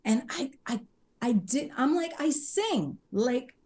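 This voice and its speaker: A woman's voice: sits high, animated, takes it slowly, darkish tone. A woman, a singer chatting as a podcast guest and retelling old exchanges in quoted dialogue. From Bronx, New York. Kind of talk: casual interview storytelling.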